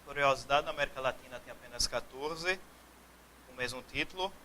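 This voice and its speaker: A man acting as host, reading a formal introduction of a guest speaker in a brisk, formal manner. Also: medium pitch, bright, forceful tone, a little hiss or hum, low-quality recording.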